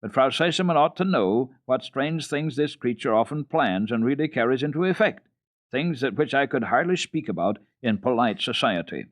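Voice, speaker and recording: A man's voice: mid-range voice, expressive, normal speed, studio quality, pitched above the performer's own voice, close to an everyday voice. A man voicing a stiff, fussy lady housekeeper in a storybook reading, formal, prim and complaining. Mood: scornful, complaining. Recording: dull, roomy old radio recording.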